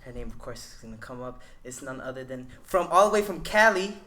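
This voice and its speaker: A man's voice: medium pitch, expressive, a little fast, bright, forceful tone, slight background noise. A man hosting a casual podcast, talking loosely with frequent restarts. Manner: loose, rambling, many restarts. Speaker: podcast host.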